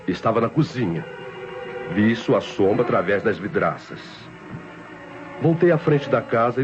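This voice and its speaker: An actor playing a tense, brooding nephew confessing a planned murder in a suspenseful old Brazilian radio mystery, speaking formally and deliberately. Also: male voice, fairly low pitch, natural voice. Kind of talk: 1960s radio mystery drama narration. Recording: dull old radio recording, loud music behind.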